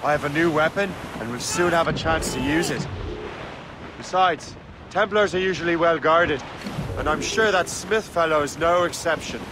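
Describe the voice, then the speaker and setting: A bold young sea captain, voiced by a man, with a slightly raised pitch and a sure, commanding manner. Steady ship noise runs behind him. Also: moderate pace, lightly acted. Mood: calm. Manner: confident, commanding.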